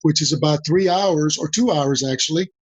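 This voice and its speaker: An older man from Mexico whose first language is Spanish, a guest on a podcast interview, talking in a measured way and repeating key phrases for emphasis. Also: a little high, breathy edge, dry recording. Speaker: guest, senior advisor. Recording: dull dry lo-fi audio.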